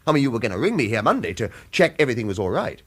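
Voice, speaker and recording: An actor in a radio drama playing a wry, suspicious retired killer who needles with clipped, repeated questions. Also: male voice, mid-range voice, big pitch swings, very fast, fairly bright tone.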